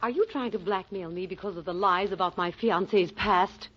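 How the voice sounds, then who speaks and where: A woman's voice: medium pitch, normal speed, natural voice. A woman in a 1940s radio drama playing a curt, defensive, indignant bride-to-be. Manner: sharp, defensive. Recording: muffled old radio recording.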